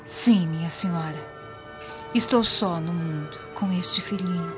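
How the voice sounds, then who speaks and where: Radio drama role: humble, grieving young widow voiced by a woman, earnest, lowish tone, dull recording. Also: measured pace, close to an everyday voice. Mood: meek sorrow. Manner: meek, formal, earnest. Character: sorrowful, grateful young Roman widowed mother. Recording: dull lo-fi audio with music behind.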